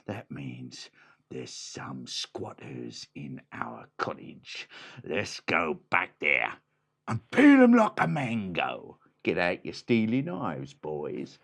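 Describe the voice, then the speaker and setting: A man voicing a sly, bossy bandit leader who talks in a rough, hushed way in a husky storybook voice. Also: extremely low pitch, huge pitch swings, takes it slowly, pitched below the performer's own voice, heavily acted. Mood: scheming. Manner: hushed, rough, says "oi, boys". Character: gravelly cockney bandit boss, bossy and sly.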